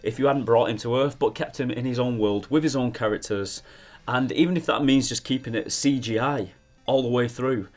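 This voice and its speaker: A man presenting scripted opinion commentary as a film reviewer, measured but emphatic. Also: medium pitch. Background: background music.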